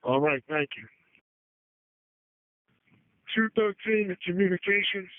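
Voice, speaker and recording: A man working on a road crew, checking in over two-way radio in a casual, halting way. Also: high-pitched, lively intonation, no room sound. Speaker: public works road crew driver. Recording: tinny narrowband radio transmission.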